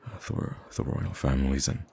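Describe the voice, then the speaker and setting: Male actor as gentle wounded prince, ASMR roleplay. Bottomless bass, gravelly rasp, halting and wistful delivery. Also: animated, full character voice. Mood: concerned, shaken. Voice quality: very deep, gravelly, husky. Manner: hesitant, gentle, halting with sighs and stammers. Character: soft-spoken wounded young prince, wistful, gracious, secretly roaming a market.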